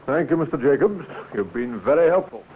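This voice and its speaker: An actor playing a courteous but firm police inspector, clipped and authoritative, in a 1950s radio drama. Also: male voice, mid-range voice, expressive, a little fast, close to an everyday voice. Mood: businesslike. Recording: tinny, noisy 1950s radio recording.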